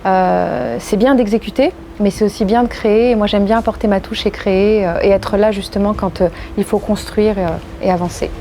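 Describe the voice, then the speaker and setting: A woman from France giving measured, reflective and earnest interview answers as a legal professional. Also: mid-range voice, fairly even intonation, dark tone, slight background noise. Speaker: interviewee, corporate legal and compliance executive.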